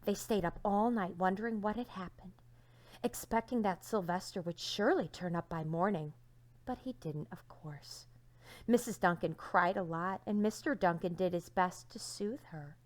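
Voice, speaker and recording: A woman with a medium-pitched voice reads a children's story aloud like a teacher. Her delivery is expressive, leaning into key words and letting the ends of phrases drop to a hush. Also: normal speed. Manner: expressive, dramatic emphasis with hushed line endings.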